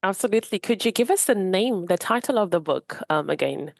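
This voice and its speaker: A woman's voice: medium pitch, lively intonation, a little fast, gravelly, no echo, clean studio sound. A South African woman hosting an interview, asking questions and encouraging her guest with supportive backchannels. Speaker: podcast host.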